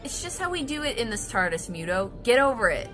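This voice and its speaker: A woman's voice: on the high side, big pitch swings, fairly quick, a bit bright, soft background music. A woman playing an eager, forthright young female adventuring companion in an audio comedy, insistent and outspoken. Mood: cheerful, brisk.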